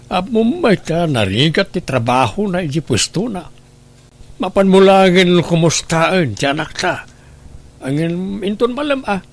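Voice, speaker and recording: A man acts as an older father and husband in a radio drama, concerned and careful. His voice is slightly high for a man, and he reasons in a measured way. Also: vocal fry, full character voice.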